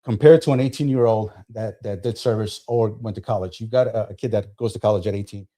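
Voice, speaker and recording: A man's voice: on the low side, fast, warm tone. A man in his fifties from New Jersey, born in Colombia, talking casually as a veteran guest on a podcast, often repeating words as he goes. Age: 50s.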